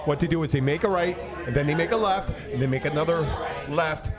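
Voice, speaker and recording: High-voiced man telling bar stories over chatter. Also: fast. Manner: rambling, animated, profane, acts out conversations. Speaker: podcast host and bartender. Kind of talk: casual bar banter and storytelling. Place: in a busy bar.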